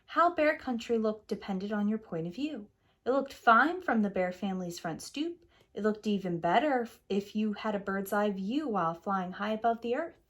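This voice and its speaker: A woman preschool teacher narrating a children's picture book as a storyteller. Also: mid-range voice.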